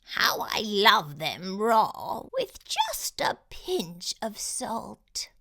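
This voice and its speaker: A woman's voice: mid-range voice, huge pitch swings, sharp tone, full character voice. A woman voicing a sly, self-pitying male fox in a children's storybook, drawing words out in a pleading whimper. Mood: dreamy, greedy. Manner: wailing, drawn-out, whimpering delivery.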